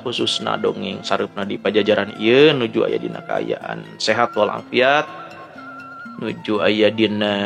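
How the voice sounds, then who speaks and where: A man with a Sundanese accent speaking as a storyteller and host, in an expressive, conversational way. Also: lowish voice, gravelly. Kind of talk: dramatic Sundanese folk-tale narration and listener greetings. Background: background music.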